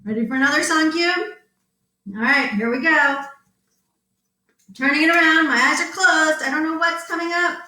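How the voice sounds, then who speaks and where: A woman's voice: high-pitched, moderate pace, pauses often, sharp tone, reverberant, poor audio. A woman leading an interactive children's story time as a librarian, enthusiastic and encouraging as she talks to young children.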